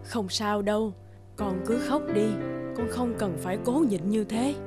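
A woman's voice: medium pitch, unhurried, lightly acted. A woman voicing a caring, firm middle-aged aunt in a cartoon. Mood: gentle concern. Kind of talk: cartoon voice acting. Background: prominent music.

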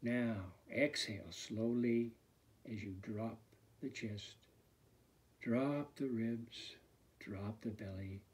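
Slow, breathy man guiding meditation. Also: mid-range voice, steady tone. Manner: slow, soft and soothing, often sinking to a near whisper. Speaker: fitness instructor. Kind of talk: guided meditation.

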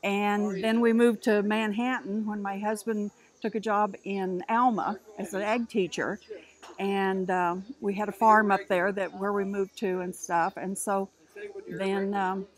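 A woman's voice: medium pitch, flat delivery, a little slow, poor audio. A woman in her sixties, a county board president, chatting casually and ramblingly outdoors. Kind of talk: casual interview. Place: outdoors by a house.

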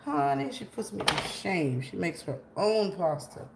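A woman's voice: mid-range voice, big pitch swings, unhurried, gravelly. A woman in her forties hosting a casual live cooking stream in a large home kitchen, chatting with viewers and greeting them by name while she cooks, away from a tripod camera. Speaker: cooking livestream host. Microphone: camera on a tripod, moving around it. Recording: echoey kitchen with faint room noise.

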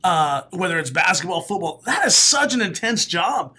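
Comedian guest, male, late 30s, raspy, bright forceful tone, animated talker, studio mic. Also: sits high, normal speed. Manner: animated storyteller, laughs often, bursts into loud announcer calls. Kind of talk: casual podcast interview. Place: small studio room. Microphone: studio mic, headphones.

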